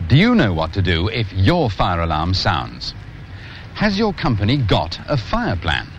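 British guy narrating 80s safety film, very lively intonation, muffled noisy old film sound. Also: low-pitched, measured pace. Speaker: presenter and narrator of a fire safety training film. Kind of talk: scripted instructional narration to viewer.

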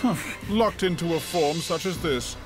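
A man's voice: fairly high pitch, expressive, normal speed, lightly acted. A man playing a cold demon villain in an anime dub, mocking and theatrically menacing. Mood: mocking menace. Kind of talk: animated series dub acting. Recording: very loud music over the voice.

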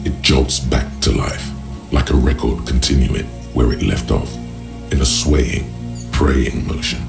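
Gravelly, extremely deep, brooding narrator. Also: male voice, measured pace, exaggerated voice. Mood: measured, mesmerized. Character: brooding, literary inner-voice narrator of a detective game. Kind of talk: scripted second-person game narration. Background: music.

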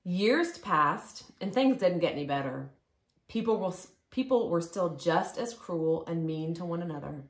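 Low-pitched woman reading a story. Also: lively intonation, measured pace. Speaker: storybook reader. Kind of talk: expressive children's story read-aloud. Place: living room, indoors. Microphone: camera or phone a short distance away.

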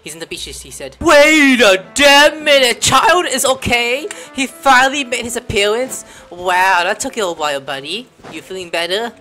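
A young woman streaming a game, bright-voiced and playful. Her casual reactions swing expressively up and down, with faint music underneath. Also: a little high, lively intonation, moderate pace, few pauses. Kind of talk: casual gameplay reaction commentary.

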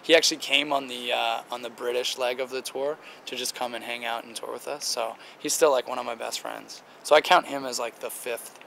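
Late-20s male singer, gravelly and a bit hoarse, laid-back interview talk, outdoor take with faint traffic. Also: medium pitch, fairly quick, hardly any pauses. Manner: relaxed, chatty, many "like" fillers. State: slightly rough, strained voice. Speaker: band singer. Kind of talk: casual interview answers. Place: outdoor table by a river. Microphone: handheld interviewer mic near the table.

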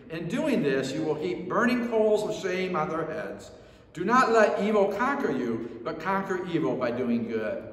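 A middle-aged man with an American accent preaching a sermon as a pastor, earnest and emphatic, repeating phrases for stress. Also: a little high. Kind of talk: sermon with scripture reading. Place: church sanctuary, standing at a lectern. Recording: very echoey church hall.

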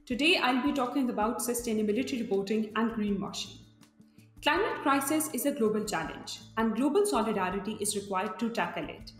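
A young woman from Pakistan giving a prepared remote talk in a clear, deliberate way. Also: fairly high pitch, animated, roomy sound, in a large hall, soft background music. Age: young adult.

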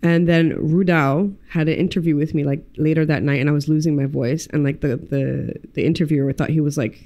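A woman, a graphic designer chatting as a podcast guest, animated and loose, swearing and dropping Arabic words into her English. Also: sits low, a little fast, muffled recording. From United States. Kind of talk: casual interview chat. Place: studio room with pinned boards. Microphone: desk mic on stand, headphones.

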